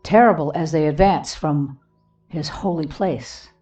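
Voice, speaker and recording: A woman reading a devotional text and scripture references aloud in a solemn, deliberate manner, pausing between short phrases. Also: deep voice, mellow. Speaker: reader of a devotional book. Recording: dull lo-fi, distant mic, music behind.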